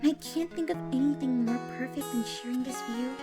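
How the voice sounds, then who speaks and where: A woman's voice: high voice, a little fast, loud music behind the voice, natural voice. A woman voicing an affectionate, eager young pony princess in an earnest, emotive way for a fan fiction reading. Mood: tender.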